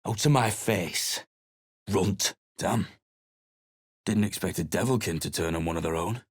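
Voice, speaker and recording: An actor playing a gruff, bitter British adventurer in a video game, curt and dismissive, with a rough voice. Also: male voice, sits low, unhurried, no room sound, clean studio sound. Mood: bitter, surly. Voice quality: husky, rough. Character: gruff, bitter adventurer man, surly and battle-worn.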